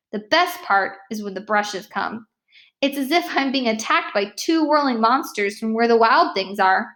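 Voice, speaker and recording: A woman reading a children's novel aloud as an expressive first-person storyteller. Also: fairly high pitch. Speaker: read-aloud narrator.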